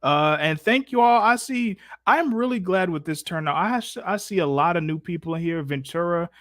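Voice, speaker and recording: A man's voice: sits high, expressive, moderate pace, fairly bright tone, no echo, clean studio sound. An American man hosting a casual live stream, chatting in an effusive, slangy, profane way.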